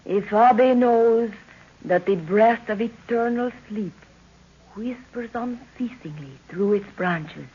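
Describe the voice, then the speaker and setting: An actress playing a solemn, mystical African sorceress in a 1930s radio drama, speaking in formal incantations. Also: female voice, fairly high pitch, slow pace, dark tone, husky. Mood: solemn, mysterious. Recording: muffled old 1930s radio recording.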